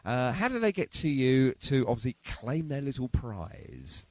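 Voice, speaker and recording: A man's voice: medium pitch, very animated, a little slow, darkish tone, no room sound. A man hosting a casual interview show, chatty and effusive with his guest. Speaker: podcast and radio show host.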